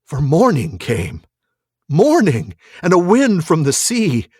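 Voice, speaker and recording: A man's voice: on the high side, wildly swooping pitch, slow pace, warm tone, slightly rough, dry recording, clean studio sound. A man hosting a podcast, moving between casual talk and dramatic reading of fantasy prose. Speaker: podcast host.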